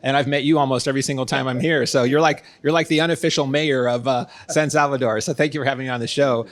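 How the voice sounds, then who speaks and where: A man's voice: mid-range voice, fairly even intonation, fast talker. A middle-aged man with an American accent, speaking as an interview guest in a conversational, explanatory way. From United States, San Diego, California. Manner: explanatory, uses everyday analogies. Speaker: guest, real estate consultant and former executive.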